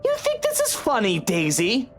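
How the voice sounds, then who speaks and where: A man's voice: extremely high pitch, wildly swooping pitch, moderate pace, over music, exaggerated voice. A man voicing a sardonic, brooding cheating husband in a visual novel game, with a sarcastic, dismissive manner. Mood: defensive. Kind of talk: dramatic visual novel voice acting.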